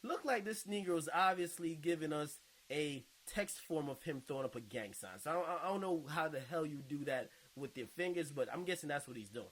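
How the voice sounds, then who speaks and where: Male online host, slightly high voice, informal and slangy, comfortable swearing, off-the-cuff commentary reading. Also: lively intonation, brisk, few pauses, a bit bright, rough recording. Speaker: online video host and podcaster. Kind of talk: casual commentary, reading and reacting to viewer comments.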